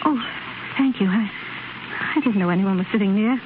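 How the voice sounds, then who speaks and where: An actress playing a young blind woman who talks softly and gently, with slight hesitations. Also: medium pitch, brisk, close to an everyday voice. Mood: gentle. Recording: tinny noisy 1930s radio broadcast.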